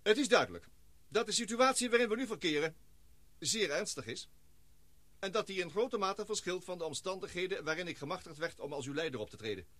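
An actor playing a formal male expedition leader and scientist who speaks in measured, speechmaking tones in a radio play. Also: sits high, lively intonation, a bit bright, dry recording.

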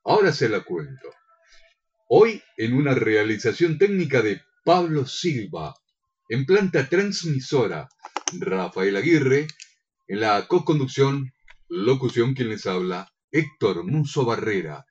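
A man's voice: mid-range voice, big pitch swings, takes it slowly, breathy edge, muffled recording. An Argentine man co-hosting a radio show, chatting and making announcements in a courteous, agreeable announcer's manner. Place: radio studio.

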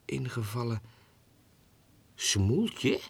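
Man voicing a precious, breathy little female lapdog. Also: mid-range voice, animated, extremely slow, no room sound, heavily acted. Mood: chatty, fussy. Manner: affected, precious, dramatic. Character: pampered, gossipy little female lapdog writing letters. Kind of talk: 1960s Dutch radio drama, reading letters.

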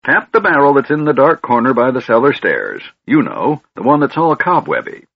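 High wobbly old wizard, scatterbrained and fussy, dull lo-fi sound. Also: male voice, sing-song, normal speed, creaky, husky, exaggerated voice. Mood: commanding. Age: older. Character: befuddled old male wizard, absent-minded yet shrewd. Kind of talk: audiobook character voice. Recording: dull, slightly lo-fi recording.

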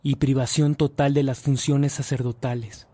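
Mellow male radio drama narrator. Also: mid-range voice, flat delivery, slight background noise. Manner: formal, measured.

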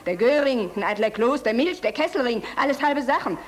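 Actress as a brazen, high-voiced young fanatic woman. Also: lively intonation, fairly quick, lightly acted. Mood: fervent, boastful. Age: young adult. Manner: brazen, taunting, fervent. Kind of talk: German radio drama acting.

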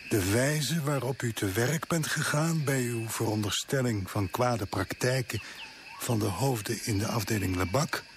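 A junior colonial official, acted by a man, anxious and deferential, in a radio play. The pitch is mid-range for a man. Clear ambient noise and animal sounds lie behind him. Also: natural voice. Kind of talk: Dutch radio play acting.

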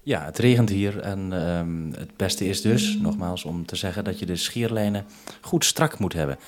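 A Dutch man speaks in a fairly low voice as a radio host at a base station. He handles a two-way radio conversation in a businesslike way, following call procedure, on a 1970s recording. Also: normal speed, slight background noise. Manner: businesslike radio procedure, ends turns with "over".

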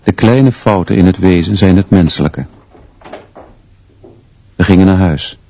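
A man's voice: low-pitched, unhurried, dark tone, highs cut off. An actor playing a sober male teacher who narrates a radio drama in terse, short phrases. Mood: sober, grave. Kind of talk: scripted first-person radio drama narration.

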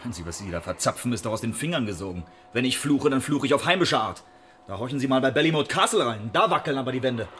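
Actor as an indignant, defiant Irishman, mid-pitched. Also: animated, fast, a bit bright, a little hiss or hum, close to an everyday voice. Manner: brusque, indignant, slangy. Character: defiant, gruff, indignant Irishman confronted by intruders. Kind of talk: German radio drama acting.

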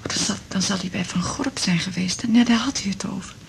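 An actress playing an anxious, bewildered middle-aged mother, fretful and halting, in a 1980s radio drama. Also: medium pitch. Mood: grieving, distraught. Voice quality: breathy, husky. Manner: fretful, repeating herself, halting.

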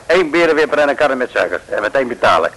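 A gruff stubborn innkeeper. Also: male voice, medium pitch, sing-song, fast talker, a bit bright. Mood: grudging. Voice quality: gruff, curt, slangy. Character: gruff stubborn male innkeeper, curt and grudging. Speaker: bar owner. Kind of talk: 1950s radio play acting. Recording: noisy old radio broadcast.

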